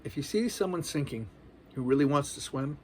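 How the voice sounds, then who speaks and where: A man's voice: a little high, animated, fairly quick, darkish tone, slight background noise. A man in his forties giving a loose, earnest spiritual monologue, casual and full of slang. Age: 40s. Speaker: spiritual vlogger.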